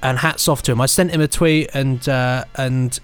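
Enthusiastic male podcast co-host, halting delivery. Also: mid-range voice, steady tone, clean tone, faint music behind. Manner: enthusiastic, halting with repeated phrases. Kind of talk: casual chat.

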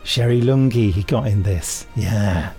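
A man's voice: lowish voice, unhurried, mellow. A man hosting a podcast in casual, conversational chat, with some music behind him. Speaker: podcast host.

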